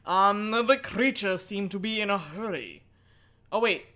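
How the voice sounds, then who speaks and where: A woman's voice: mid-range voice, expressive, measured pace, pushed and bright. A woman hosting a casual livestream, chatting playfully and irreverently, with asides and swearing as she reads aloud. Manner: chatty, often breaks off into asides. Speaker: livestream host reading a book aloud. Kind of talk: casual livestream chat mixed with mocking dramatic read-aloud.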